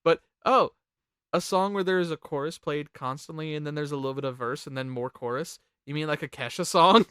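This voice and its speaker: Casual host, mid-pitched and very bright, rambling and sweary, dry close sound. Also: a little fast, clean tone, no echo. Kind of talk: casual group chat, ranking songs.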